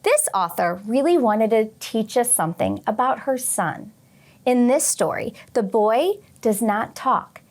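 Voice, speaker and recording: A woman teacher reading a picture book aloud to young children expressively, pausing for short teaching asides. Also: on the high side, animated, a little mellow. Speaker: teacher and read-aloud host.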